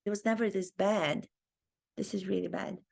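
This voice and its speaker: A woman's voice: mid-range voice, fairly even intonation, moderate pace, vocal fry, darkish tone. A woman with a Romanian accent, an acting teacher thinking aloud and commenting to camera in her own video. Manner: thinks aloud, earnest and reflective. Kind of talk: talking-head commentary video.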